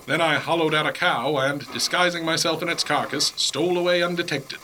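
Shameless survivor professor: bright, slightly high, earnest radio-play delivery, unbothered by horror, background noise on the line. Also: male voice, steady tone, brisk, poor audio. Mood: earnest, unrepentant. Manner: earnest, matter-of-fact about horrors. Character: shameless, self-preserving male astronomy professor, earnest survivor. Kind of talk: 1930s radio comedy drama acting.

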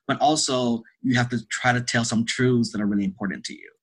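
A man's voice: mid-range voice, steady tone, brisk, fairly bright tone, breathy edge. A man speaking as a theatre artist being interviewed, answering conversationally in an emphatic, earnest way and repeating phrases for stress. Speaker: guest, theatre director and artist.

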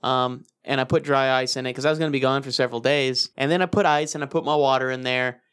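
A man's voice: medium pitch, fast talker, clear, resonant tone. A young adult man co-hosting a podcast, telling a story casually with laughs and profanity. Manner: animated, laughing, profane anecdotes.

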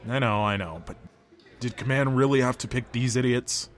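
An actor playing a crude, hot-headed soldier in an audio drama, blunt and sneering. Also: male voice, mid-range voice, normal speed, close to an everyday voice. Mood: scornful.

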